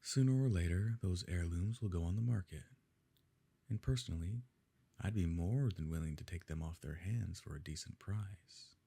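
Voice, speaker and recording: A possessive, smooth-mannered evil nobleman, played by a man in an ASMR romance drama. His voice is very deep and dark, with a gravelly grain. He speaks formally and with measured control, pressing his beloved with quiet coercion. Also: full character voice. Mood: cold, menacing. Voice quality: low, husky, gravelly. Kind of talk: ASMR romance roleplay, scripted dramatic monologue.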